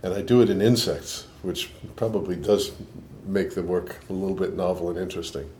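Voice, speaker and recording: Older Boston-New York man, very deep voice. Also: steady tone, a little fast, warm tone, a little hiss or hum. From Boston, USA. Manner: thoughtful, with frequent restarts and fillers. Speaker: interviewed scientist. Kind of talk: reflective interview answers.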